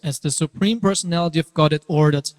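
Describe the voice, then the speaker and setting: A man with a slightly high voice serves as narrator. He reads scripture in a formal, scripted style for a dramatic reading. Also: fairly even intonation, quick pace, a little mellow.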